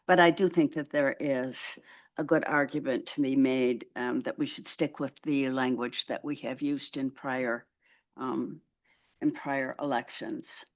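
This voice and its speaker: Man, board member, medium pitch, dry mic, measured with fillers. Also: steady tone, no echo. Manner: polite, deliberate, many fillers. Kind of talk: formal public meeting remarks.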